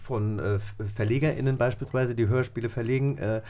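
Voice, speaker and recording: A man co-hosting a magazine show in casual conversation, often halting and repeating words. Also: medium pitch, steady tone, warm tone. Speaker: co-host of an audio drama magazine show.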